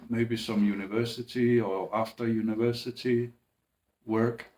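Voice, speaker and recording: A man speaking in a halting, hesitant way, with restarts and long pauses, in a personal monologue. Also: mid-range voice, little pitch movement, a little mellow. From Greenland. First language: Danish.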